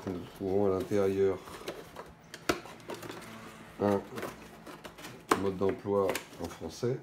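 A middle-aged man giving an unscripted hands-on product demonstration, speaking in halting, stop-and-go phrases with trailing pauses. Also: low voice, little pitch movement, noisy background. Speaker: product presenter. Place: indoors at a wooden table in a small shop or office.

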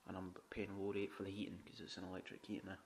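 A man's voice: low voice, completely flat delivery, rapid-fire, heavy background noise. Chatty man touring his renovation. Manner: chatty, frugal, swears casually, repeats "you know what I mean". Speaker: home renovator. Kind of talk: walkthrough tour narration. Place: indoors in an empty flat under renovation. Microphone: handheld camera mic.

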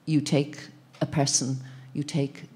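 Low-voiced woman, halting and searching. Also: flat delivery, mellow. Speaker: panel moderator. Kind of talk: thoughtful panel discussion speech. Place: indoor panel event in a plain gallery room. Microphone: handheld microphone.

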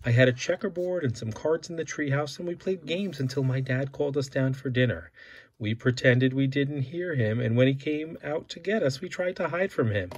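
A man's voice: medium pitch, a little hiss or hum. A father reading a children's picture book aloud, bringing the characters' quoted lines to life as he goes. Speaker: father reading to his son.

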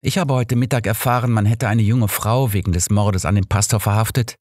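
A man voicing an embittered woman who makes a soft, measured confession of murder in an audiobook. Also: on the low side, fairly even intonation, no room sound, studio quality, lightly acted. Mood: resigned.

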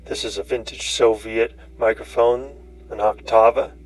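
A middle-aged man giving a tutorial demonstration on music software in a small home studio. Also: fairly low pitch, level tone, moderate pace, rough recording.

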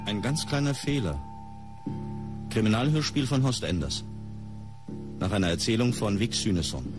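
A man's voice: lowish voice, animated, normal speed. A man announcing the title and credits of a radio play in a scripted style. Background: plucked guitar music.

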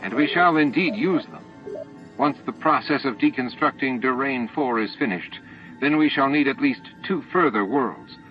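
A man's voice: medium pitch, expressive, moderate pace, pitched above the performer's own voice, lightly acted. A man voicing a pedantic alien science master who explains things precisely, in an audiobook. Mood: matter-of-fact. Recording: dull lo-fi tape audio with music.

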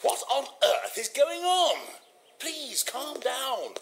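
High-voiced, animated, flustered doctor. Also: male voice, slow pace, vocal fry, slight background noise, exaggerated voice. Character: courteous, flustered male hospital doctor.

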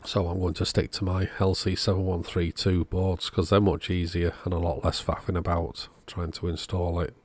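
A man's voice: low-pitched, little pitch movement, moderate pace, few pauses. A man narrating a repair job in a casual, matter-of-fact, understated way. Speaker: host of electronics repair channel.

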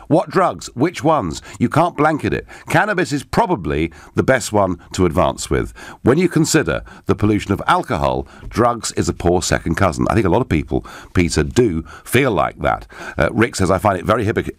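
A middle-aged man hosts a radio phone-in, his fairly low voice swooping widely up and down as he stresses words. He sits close to a studio microphone, and the sound is dry and tight with no room echo. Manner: emphatic, swooping delivery, interjects with questions. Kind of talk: live radio phone-in, reading listener messages. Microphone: studio microphone with headphones.

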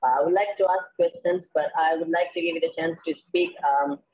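A man's voice: fairly high pitch, steady tone, a little fast, husky, lo-fi sound. A man hosting an online English class on a video call, hesitant and full of fillers. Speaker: teacher and class host.

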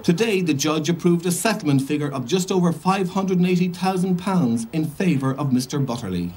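Higher-pitched newsreader. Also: male voice, animated, darkish tone, slightly rough. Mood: neutral, formal. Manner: formal, measured. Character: formal male television news reporter. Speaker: news reporter. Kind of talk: scripted television news report.

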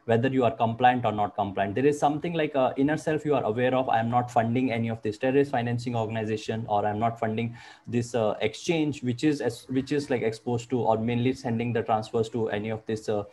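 A man's voice: medium pitch, fast talker, a little mellow, slight background noise. A man in his thirties speaking as a panelist through wired earphones, earnest and full of fillers. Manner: earnest, filler-heavy with repeated "like, uh". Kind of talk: panel discussion over video call. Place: room with wooden cabinets indoors. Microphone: wired earphone mic.

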